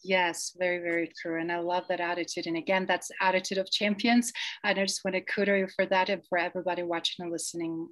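A woman's voice: lowish voice, flat delivery, fast talker. A woman hosting a podcast interview, speaking in an enthusiastic, encouraging way with slightly non-native phrasing. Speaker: show host.

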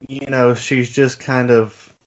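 A man's voice: medium pitch, level tone, slow pace, muffled recording, a little hiss or hum. A man co-hosting a casual film discussion podcast, giving his opinions in conversational speech.

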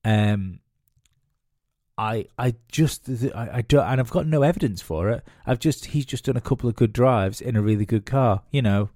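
A man's voice: mid-range voice, normal speed, warm tone, no echo, clean studio sound. A man hosting a solo podcast, talking casually with lots of fillers and restarts, nasal and sniffly from a cold. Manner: rambling, many fillers and restarts. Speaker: podcast host.

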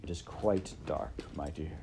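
Casting: male narrator as scheming villain rat, pompous and suave, hushed, measured delivery with swooping intonation. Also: low voice, animated, a little hiss or hum. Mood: hushed, exasperated. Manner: pompous, elaborately polite, hushed. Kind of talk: storybook character voice in audiobook narration.

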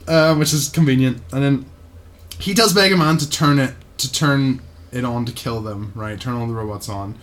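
A man's voice: mid-range voice, normal speed. A man co-hosting a podcast, casually recapping an episode in a mocking, sweary way and often checking in with "right?".

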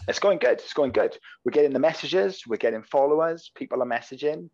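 A man's voice: on the high side, expressive, brisk, vocal fry, crowd noise. A man with a Welsh accent co-hosting a casual podcast, clipping his words short and swearing freely. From Wales. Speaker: podcast co-host. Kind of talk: casual chat. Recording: slightly dull lo-fi sound.

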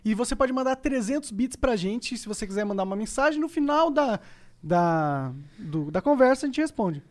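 A man's voice: very high voice, normal speed. A man from Brazil hosting a podcast, chatting casually and reading sponsor messages, laughing often. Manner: laughs often, relaxed and loose. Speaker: podcast host.

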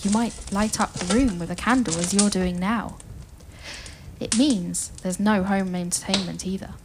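A woman's voice: mid-range voice, a little slow, background noise, close to an everyday voice. A woman playing an enthusiastic storyteller in a family audio play, inviting listeners into an adventure with a sense of wonder. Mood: gentle, inviting.

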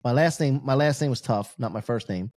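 A man's voice: mid-range voice, brisk, darkish tone, dry recording. A man hosting a podcast, asking long, rambling questions in a jokey, casual way. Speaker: podcast host. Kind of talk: casual interview chat.

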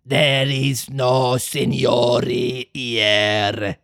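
A man voicing a gruff, volatile male puppet-theatre master with a slow, hoarse growl. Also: mid-range voice, little pitch movement, a bit bright, no room sound, clean studio sound, exaggerated voice. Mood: curt.

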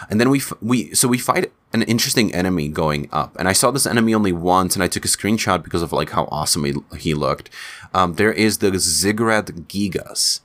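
Deep-voiced man. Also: fairly bright tone. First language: Czech. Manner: rambling, searches for words, frequent restarts. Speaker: video game commentator. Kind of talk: casual game playthrough recap.

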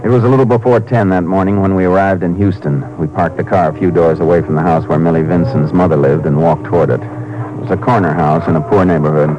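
An American actor playing a steady, laconic Texas Ranger in a 1950s radio drama, terse and matter-of-fact. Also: male voice, sits low, fast, muffled recording, over loud music, natural voice. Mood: brisk narrating. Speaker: actor playing the lead lawman and narrator. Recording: old 1950s radio broadcast recording.

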